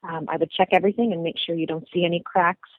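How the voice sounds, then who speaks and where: A woman who is a lactation consultant gives calm, instructive advice with frequent ums, heard over a phone-like recording. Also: fairly low pitch, quick pace, no room sound. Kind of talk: advice answer. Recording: phone-like, narrow band.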